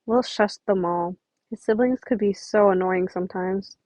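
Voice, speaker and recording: A woman's voice: medium pitch, moderate pace, darkish tone, no echo. A woman narrating a story informally as an amateur reader, sometimes stumbling and rereading phrases.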